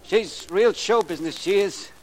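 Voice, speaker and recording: An actor puts on a dreamy counterman who is smitten with show business. He has a high male voice and talks in an eager, gushing, folksy way, as in a vintage radio play. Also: normal speed, darkish tone, a little hiss or hum, natural voice, low-quality recording. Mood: wistful, admiring. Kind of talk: old-time radio crime drama acting.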